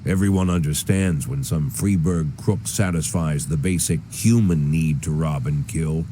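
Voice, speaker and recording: An aging, cynical American cop narrates a noir video game. His voice is deep, dark and relaxed, with dry, sardonic weariness. Some noise sits behind him. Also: measured pace. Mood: wry, musing. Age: older. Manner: world-weary, dry, sardonic. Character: weary, cynical aging police chief turned corrupt.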